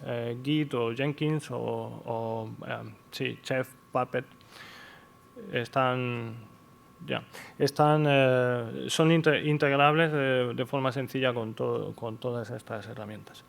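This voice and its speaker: Male conference host, gravelly, halting, mid pitch. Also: fairly even intonation. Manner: halting, with fillers and restarts. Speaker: conference presenter introducing a guest. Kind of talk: technical conference presentation. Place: conference hall.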